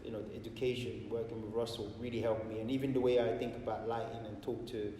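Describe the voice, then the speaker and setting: A choreographer, a man, reflecting calmly on how he learned his craft, with pauses and fillers. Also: lowish voice. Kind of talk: casual interview. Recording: distant echoey hall, faint noise.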